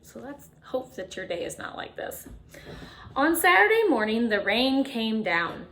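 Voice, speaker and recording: Animated high-voiced woman reading to children. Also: low-quality recording. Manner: expressive, dramatic emphasis, drawn-out refrains, chatty questions to young listeners. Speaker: teacher reading a picture book aloud. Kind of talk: animated children's storytime read-aloud with asides to listeners.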